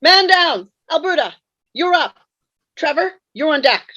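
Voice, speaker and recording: A woman's voice: sits high, expressive, measured pace, pushed and bright, heavily acted. A woman playing a pompous, formal male ghost commander in an online table read of a sitcom script. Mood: commanding. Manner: formal, pompous, archaic phrasing. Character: pompous male ghost, old-fashioned military commander rallying troops. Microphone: video call. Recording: dull, roomy video-call sound.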